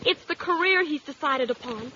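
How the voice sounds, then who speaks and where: A woman's voice: sits high, animated, moderate pace, bright, forceful tone. A woman playing an earnest, pleading teenage daughter with a girlish voice in a vintage radio comedy. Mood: urgent pleading. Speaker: actress in a radio sitcom. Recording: muffled vintage radio with faint noise.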